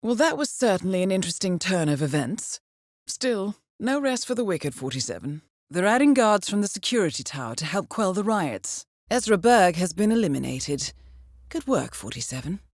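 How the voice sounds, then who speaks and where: Composed lady spy handler in a video game, dry wit, lowish voice, really lively intonation, close dry sound. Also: no room sound. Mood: dryly wry, then cool approval. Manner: composed, measured, dryly wry, formal. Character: poised, dryly amused female intelligence handler guiding an assassin. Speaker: mission handler. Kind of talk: scripted video game briefing voice acting.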